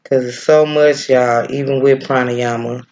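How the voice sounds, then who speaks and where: A man with a Midwestern accent giving a casual breathing lesson, laid-back and chatty, often pausing with fillers as he searches for a name. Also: mid-range voice, steady tone, darkish tone, highs cut off. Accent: Midwestern American. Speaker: breathwork and yoga teacher. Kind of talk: casual spiritual teaching, rambling.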